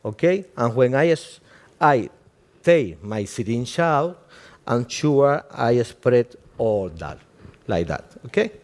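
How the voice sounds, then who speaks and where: A man with a Cuban Spanish accent, a native Spanish speaker, giving a live demonstration as a doctor in halting English and checking in with "okay?" after almost every step. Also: medium pitch, sing-song, darkish tone. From Cuba.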